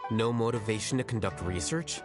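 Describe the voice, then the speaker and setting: Casting: man to play blunt, learned young sage for a video game; low register, detached, measured delivery. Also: lightly acted. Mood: nonchalant. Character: composed, blunt scholar and acting grand sage, young man. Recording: game music behind the voice.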